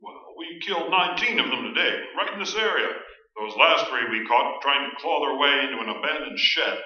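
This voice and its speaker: Casting: actor as folksy, matter-of-fact male sheriff, slightly high voice, heavy room echo, radio horror play. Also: lively intonation, a little fast, lightly acted. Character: unflappable small-town sheriff hunting ghouls. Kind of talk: staged radio play acting. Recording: very echoey stage, distant dull pickup.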